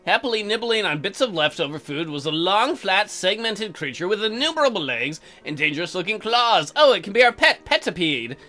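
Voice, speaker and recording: A let's play host reads visual novel text aloud and cuts in with sarcastic, crude jokes. The voice is bright and animated, with soft music in the background. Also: mid-range voice, fairly quick, hardly any pauses. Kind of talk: reading game text with joking commentary.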